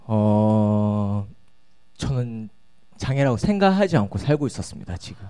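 A man's voice: fairly low pitch, measured pace, warm tone, smooth. A man in his twenties with a regional Korean dialect, speaking politely and hesitantly as a show guest. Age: 20s. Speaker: guest, hair designer. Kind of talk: casual talk on stage.

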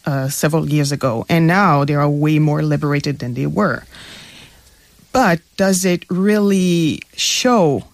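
A woman's voice: low-pitched, a little slow, no echo. A young woman from Quebec, a graduate student guest, answering earnestly on a radio talk show while searching for words. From Quebec, Canada.

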